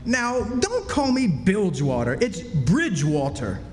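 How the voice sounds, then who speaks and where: A grandly put-upon con man posing as a duke in a stage radio play. His high voice swings widely with self-pity and melodrama. It rings through an echoey hall. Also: huge pitch swings, a little slow, a little hiss or hum, full character voice. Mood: indignant, incredulous. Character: self-pitying swindler posing as a wronged, haughty duke. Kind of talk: old-time radio drama acting, performed live. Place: stage in a reverberant historic club hall.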